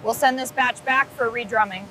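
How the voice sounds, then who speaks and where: A woman's voice: on the high side, fairly even intonation, moderate pace, bright, forceful tone, a little hiss or hum. A woman inspecting work in scripted dialogue, matter-of-fact in her manner.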